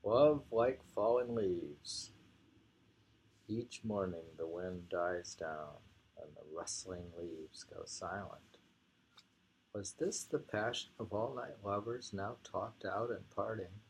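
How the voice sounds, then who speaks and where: A man casually reading poetry and talking it over in a halting, musing way. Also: fairly low pitch, gravelly, darkish tone, a little hiss or hum, low-quality recording. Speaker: reader and host of poetry readings.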